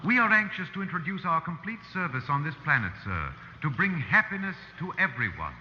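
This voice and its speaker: An actor playing a polite, relentless humanoid robot servant in a formal, unflappable 1950s radio drama style. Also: male voice, a little high, sing-song, fairly quick, a little hiss or hum. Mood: polite, insistent. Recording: muffled vintage 1950s radio recording.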